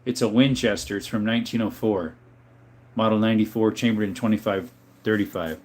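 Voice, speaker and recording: A man hosting a casual livestream from a room full of guns, reading viewer comments aloud in a relaxed, joking way. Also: lowish voice, fairly quick. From Arizona. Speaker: livestream host reading chat. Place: indoors, room with guns on display.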